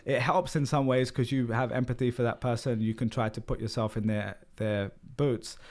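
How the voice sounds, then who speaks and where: A man's voice: medium pitch, steady tone, moderate pace, a little mellow. Male guest, 30s, London accent, open and rambling, filler-heavy conversational delivery. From London, England. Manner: candid, rambling, frequent "like" and "um" fillers. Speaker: podcast guest. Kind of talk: casual interview conversation.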